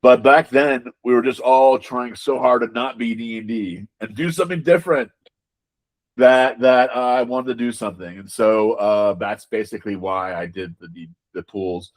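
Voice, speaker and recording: A man's voice: mid-range voice, moderate pace, low-quality recording. A man giving conversational interview answers as a game designer, emphatic, repeating words and often checking in with rhetorical questions.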